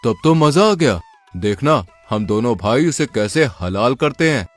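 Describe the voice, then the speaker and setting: A man's voice: mid-range voice, sing-song, a little slow, faint music behind. A man voicing a boastful, scheming village swindler in a Hindi folk tale, in a theatrical manner. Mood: gleeful.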